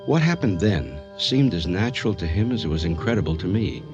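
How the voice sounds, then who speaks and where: An actor playing a measured male therapist, narrating a case in an explanatory, clinical manner for radio drama. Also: deep voice, big pitch swings, dull audio. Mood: grave, clinical. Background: music.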